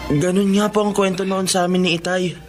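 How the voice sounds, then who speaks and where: An actor playing a humble, kind, polite young student with a Filipino accent in a radio drama. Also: male voice, sits high, level tone, a little fast, faint music behind, lightly acted. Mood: polite, surprised.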